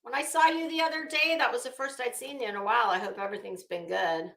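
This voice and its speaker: A woman's voice: a little high, fairly even intonation, fast, gravelly, bright, forceful tone. A woman host chatting casually and bluntly with viewers on a livestream, informal and talkative. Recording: echoey room.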